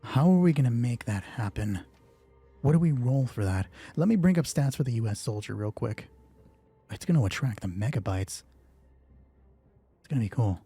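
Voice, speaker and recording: A man's voice: medium pitch, animated, normal speed, pauses often, warm tone, breathy edge, soft background music. A man narrating a solo tabletop game as game master, thinking aloud and asking himself questions that he then answers. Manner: deliberating, asks himself questions and answers them.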